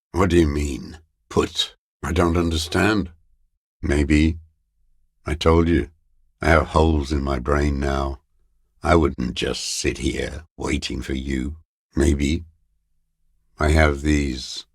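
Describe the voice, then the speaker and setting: An actor playing a bitter, paranoid old communist deserter in a video game, with a weary, gravelly voice and a halting, brooding, contemptuous mutter. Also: male voice, rumbling low, no echo, studio quality, full character voice. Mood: weary, confessional. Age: older. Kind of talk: video game character voice acting.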